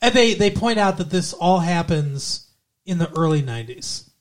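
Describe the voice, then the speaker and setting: A man's voice: a little high, lively intonation, normal speed. A man co-hosting a podcast, casually talking through a film's plot and rambling with frequent restarts. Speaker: podcast co-host. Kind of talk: casual movie review chat.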